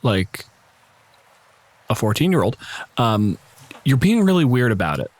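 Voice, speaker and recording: Man playing an exasperated, deadpan island local. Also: mid-range voice, measured pace. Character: exasperated, blunt adult islander protective of his family.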